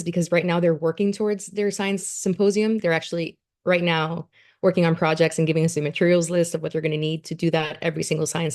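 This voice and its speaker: Low gravelly woman presenting a program. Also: a little mellow. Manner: earnest, many fillers and restarts. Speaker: education program coordinator. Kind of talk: presentation at a community meeting.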